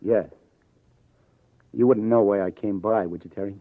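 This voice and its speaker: An actor playing a hard-boiled, persistent police detective in 1950s radio crime drama, with dry, understated, probing questions. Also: male voice, fairly low pitch, steady tone, moderate pace, dry recording, close to an everyday voice. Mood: probing, sardonic. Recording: muffled vintage radio recording, narrow band.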